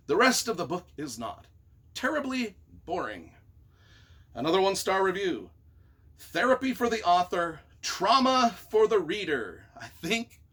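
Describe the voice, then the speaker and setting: A man's voice: fairly high pitch, fairly bright tone. A man reading reviews aloud and reacting off the cuff as their author, with a theatrical, emphatic, mock-exasperated delivery.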